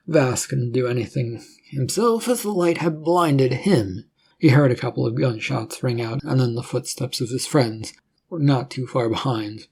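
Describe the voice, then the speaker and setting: A man's voice: medium pitch, darkish tone, breathy edge. A man reading scripted fiction as a narrator, telling the story in a dramatic way.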